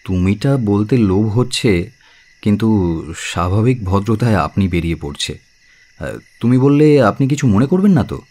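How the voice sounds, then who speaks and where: Low-voiced, courteous young man. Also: animated, normal speed, long pauses, a little mellow. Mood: shy, gentle. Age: young adult. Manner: polite, earnest. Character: courteous, caring young man, shyly smitten. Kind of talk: audio drama acting.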